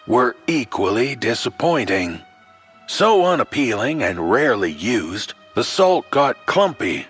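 A man tells a scripted horror tale with theatrical flair over a soft musical score. His mid-pitched voice swoops expressively as he addresses the listener in a wry, folksy manner. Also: breathy edge. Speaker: horror anthology storyteller.

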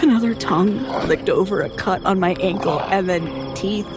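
An actress playing a traumatized survivor in an audio horror drama, telling a nightmarish ordeal in halting, broken phrases. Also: female voice, medium pitch, over loud music, close to an everyday voice. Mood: shaken, anguished. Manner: halting, haunted, breaking off and repeating words. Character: traumatized woman survivor recounting a nightmarish attack by neighbors. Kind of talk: audio horror drama acting, testimony monologue.

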